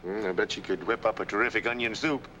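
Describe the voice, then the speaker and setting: A man's voice: fast, bright, forceful tone, highs cut off. An actor playing a cynical, worldly older man with a sardonic, needling manner in a 1940s Hollywood film. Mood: sardonic, skeptical. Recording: old film soundtrack, vehicle-like rumble.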